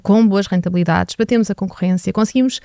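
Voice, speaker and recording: A woman's voice: medium pitch, fast talker, gravelly, dark tone, no room sound, clean studio sound. A woman from Portugal with a European Portuguese accent, a guest on a business podcast, speaking earnestly and reflectively with frequent hesitations. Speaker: HR director guest.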